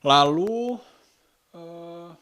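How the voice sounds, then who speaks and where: A man talking casually in an unscripted vlog, halting often as he searches for words. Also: a little high, animated, a bit bright, dry recording. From Indonesia. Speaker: vlogger and musician.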